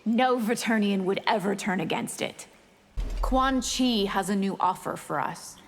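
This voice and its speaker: An echoing, mid-pitched voice of a predatory vampire woman. She is cool and haughty, coolly menacing in video game style. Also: unhurried. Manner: cool, disdainful. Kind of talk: fighting game character dialogue. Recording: long reverb.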